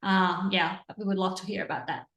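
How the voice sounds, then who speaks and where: A woman's voice: mid-range voice, flat delivery, a little fast, fairly bright tone, highs cut off. A woman from Iran hosting an interview over a video call, effusive and enthusiastic, laughing often. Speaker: interview host. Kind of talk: conversational interview. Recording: roomy, distant from the mic.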